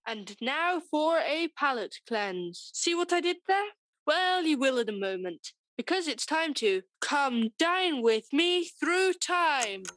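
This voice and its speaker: High, lively playful presenter. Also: female voice, takes it slowly, a little hiss or hum, exaggerated voice. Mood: knowing, playful. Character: knowing, playful presenter introducing the next sketch. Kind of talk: radio comedy play acting.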